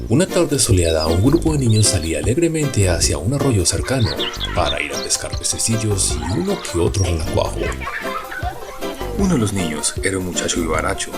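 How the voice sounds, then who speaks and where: Very deep-voiced male storyteller over music. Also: big pitch swings. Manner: measured, storytelling delivery. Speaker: narrator. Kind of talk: scripted storybook narration for audio drama.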